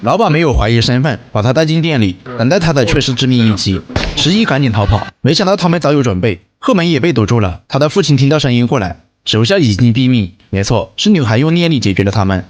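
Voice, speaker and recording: A man's voice: mid-range voice, sing-song, fast. A man reading a scripted film recap as narrator.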